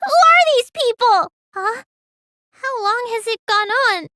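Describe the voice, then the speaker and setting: A woman voicing a small, gloomy, stubborn teenage girl mage in an English video game dub, drawling when calm and stammering when upset. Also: very high-pitched, takes it slowly, no echo, heavily acted. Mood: distraught, shrill.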